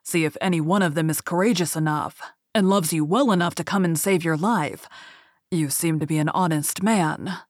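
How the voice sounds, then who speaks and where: A woman voicing an angry male beast in a fairy tale read aloud, speaking loud, stern and commanding. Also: fairly low pitch, moderate pace, dry recording, studio quality, lightly acted.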